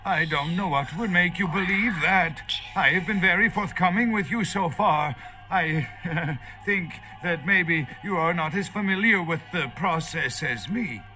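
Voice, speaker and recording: A man's voice: high voice, unhurried, over music. An actor playing a nervous, erudite archaeologist in a video game, lecturing hesitantly as he searches for words. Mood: evasive, defensive. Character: nervous, erudite male foreign archaeologist held captive.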